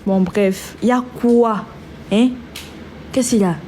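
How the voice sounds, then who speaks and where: A woman's voice: medium pitch, a little slow, very noisy. A woman hosting a casual live stream who has a cold and talks in a chatty way, trailing off and restarting. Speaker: livestream host.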